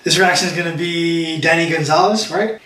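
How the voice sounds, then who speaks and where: A man's voice: on the high side, steady tone, brisk, pushed and bright. Man co-hosting casually from across an echoey room. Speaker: YouTube reaction channel co-host. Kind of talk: casual reaction chat. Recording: roomy echo, distant from mic.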